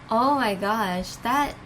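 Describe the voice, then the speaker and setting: A woman giving a casual, gushing reaction, rambling and exclaiming in drawn-out bursts. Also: a little high, background noise. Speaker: host of a reaction video. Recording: music playing behind the voice. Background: music from the video being watched.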